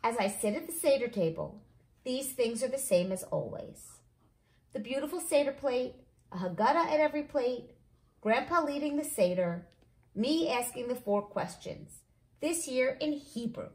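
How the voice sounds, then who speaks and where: Animated woman reading a storybook, echoey room. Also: sits high, moderate pace. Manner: animated, acts out dialogue. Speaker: read-along host, storyteller. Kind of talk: expressive children's storybook reading.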